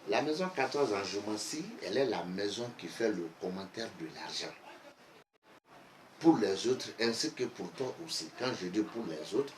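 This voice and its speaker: A man with a West African accent, a native Bambara speaker, explaining like a teacher in a deliberate, emphatic way with repetition. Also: lowish voice, animated. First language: Bambara (Bamanankan). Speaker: geomancy teacher, online video host. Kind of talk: instructional explanation. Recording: echoey room, faint background noise.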